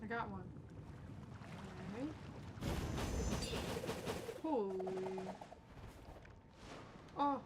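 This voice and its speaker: A woman's voice: medium pitch, very slow, long pauses, heavy background noise. A woman doing casual live game commentary, muttering to herself and chatting to viewers with mild swearing. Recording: loud game music behind. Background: video game music.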